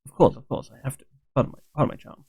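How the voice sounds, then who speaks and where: A man's voice: rapid-fire, darkish tone, no echo. A young British man with a British accent, chatting and teasing friends while streaming a game, laughing often. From Britain. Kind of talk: casual gaming chat.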